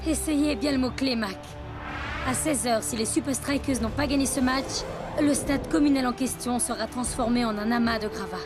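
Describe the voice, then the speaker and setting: A woman's voice: a little high, moderate pace, over music, close to an everyday voice. An actress playing a poised female football commentator in a French cartoon dub. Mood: poised, dramatic.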